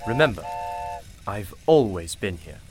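An actor in a radio play voicing a mysterious, wise gentleman shopkeeper, formal, courteous and reassuring. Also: lowish voice, very animated, a little slow, a little hiss or hum. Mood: knowing.

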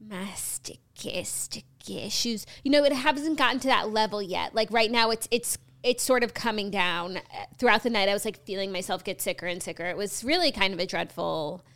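A woman's voice: medium pitch, normal speed, hardly any pauses, fairly bright tone. A woman, co-hosting a casual chat, nasal and coming down with a cold, frank and grumbling. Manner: frank, grumpy, complaining. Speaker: podcast co-host.

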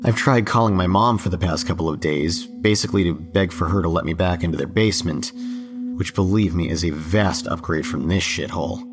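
A deep-voiced, jaded young man , played by an actor, tells his own horror story in an audio drama. He is broke and out of work, and talks in a wry, coarse, conversational way over prominent droning music. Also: fairly quick, lightly acted. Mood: bitter, resigned. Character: broke, cynical unemployed young man confiding his troubles. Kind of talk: first-person horror audio drama narration.

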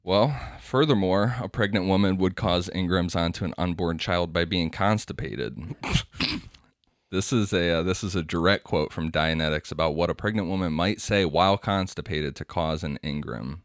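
A man's voice: low-pitched, normal speed, no echo, studio quality. A man co-hosting a casual podcast, explaining a topic from notes and laughing often. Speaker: podcast co-host. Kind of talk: casual podcast chat, reading quotes aloud.

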